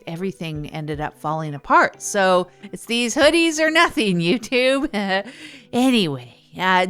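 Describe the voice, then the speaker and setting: A woman acting as an awkward comedy radio host with a middling-pitched voice. She rambles conversationally, hesitating and doubling back as she talks. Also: a bit bright, smooth.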